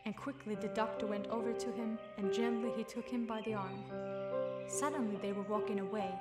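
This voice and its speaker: A woman's voice: mid-range voice, steady tone, fairly quick. A woman reading scripted story narration as the narrator of a radio drama. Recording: roomy, echoing voice track. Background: music.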